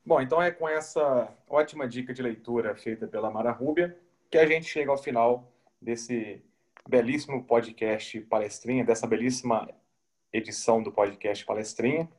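A man of middle pitch hosts a podcast from his home. He talks reflectively, pausing often with small hesitations. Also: darkish tone. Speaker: podcast host. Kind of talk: casual podcast chat.

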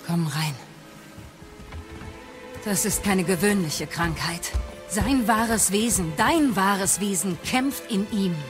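A woman's voice: medium pitch, over music, natural voice. A woman playing a stern, solemn goddess and healing witch in a video game audio drama, grave and commanding. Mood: grave, instructive. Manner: commanding, grave, deliberate phrasing.

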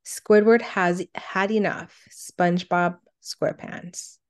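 A woman's voice: lowish voice, steady tone, slow pace. A woman co-hosting a casual countdown show, reading out entries and reminiscing in a conversational way. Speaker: co-host of a cartoon countdown show.

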